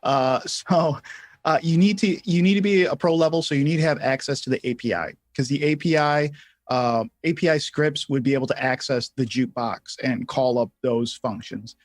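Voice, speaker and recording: A man's voice: mid-range voice, moderate pace, no echo. A man co-hosting a tutorial livestream, explaining features and answering questions in a casual, conversational way.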